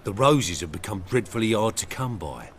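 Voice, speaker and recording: A man's voice: lowish voice, sing-song, moderate pace, a bit bright, slight background noise. An actor playing a meek, deferential valet to a noble lady in a video game, with a servile, folksy way of talking. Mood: deferential, regretful. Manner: servile, folksy, dropped words. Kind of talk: video game dialogue acting.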